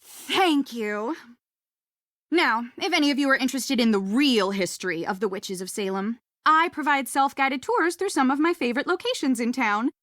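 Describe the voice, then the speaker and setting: A woman voicing a mysterious, theatrical witch tour guide in a video game, speaking deliberately with an ominous manner. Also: sits high, animated, fairly bright tone, no room sound, clean studio sound. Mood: teasing, inviting. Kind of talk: dramatic ghost-story narration, video game voice acting.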